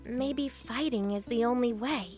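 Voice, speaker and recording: A woman's voice: on the high side, unhurried, over music, natural voice. A woman playing an earnest, idealistic young woman in a video game, sincere and brave. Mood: earnest, thoughtful. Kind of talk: video game cutscene acting.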